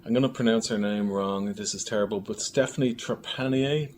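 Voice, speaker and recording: Low-pitched guy giving a casual interview, kinda halting, thinks out loud, some noise in the background. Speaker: filmmaker guest being interviewed. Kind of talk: casual interview chat about own work. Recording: clearly noisy room recording.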